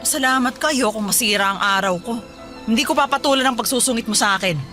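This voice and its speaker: A woman's voice: on the high side, fast, close to an everyday voice. A woman voicing a fifty-year-old housewife and mother who scolds and lectures her family in a radio drama. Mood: hurt. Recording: background music. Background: soft music.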